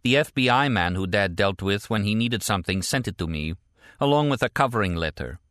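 A man's voice: fairly low pitch, animated, brisk, fairly bright tone, no echo, clean studio sound. A man voicing a tender, teasing, anxious woman in an audiobook novel. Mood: earnest, troubled. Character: frightened, teasing, tender American woman on a phone call.

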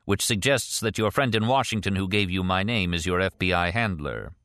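A sceptical ex-CIA detective in an audiobook voiced by a male reader. He talks in a low register, wry and sardonic, as if reasoning aloud. The sound is dry. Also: a little fast, fairly bright tone, no room sound.

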